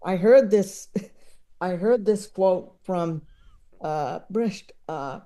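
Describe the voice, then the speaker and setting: A woman chatting casually as a podcast guest, enthusiastic and digressive, often halting as she works out her thought. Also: lowish voice, lively intonation, dark tone. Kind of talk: casual chat about books and comedy.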